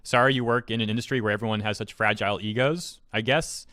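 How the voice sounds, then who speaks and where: A man's voice: lowish voice, fairly even intonation, quick pace, bright, forceful tone, no echo, studio quality. A man hosting an opinionated online commentary, talking in a sarcastic, mocking way full of pointed rhetorical questions. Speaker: YouTube commentary host. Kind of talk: opinionated online commentary, reading out tweets and reacting.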